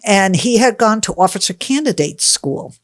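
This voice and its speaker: A woman's voice: mid-range voice, expressive, normal speed, dry recording, studio quality. Woman in her sixties, chatty podcast guest. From United States (Connecticut). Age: 60s. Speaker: podcast guest, personal trainer. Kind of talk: conversational interview answers.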